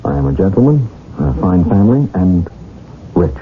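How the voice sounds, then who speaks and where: An actor playing an earnest, lovestruck young gentleman in a radio drama, speaking formally and ardently. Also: low voice, very animated, normal speed, slight background noise. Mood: earnest, determined. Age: young adult. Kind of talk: radio drama acting with first-person narration. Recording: muffled vintage radio recording, music behind.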